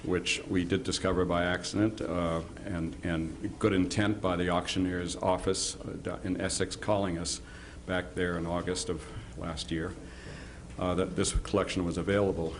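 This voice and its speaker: A man giving an informal historical introduction as presenter, halting and often repeating words as he goes. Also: deep voice, level tone, a little hiss or hum. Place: live presentation before an audience.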